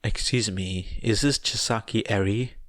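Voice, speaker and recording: A man's voice: on the low side, measured pace, no echo, close to an everyday voice. A man voicing an impatient, officious male government investigator in an audiobook.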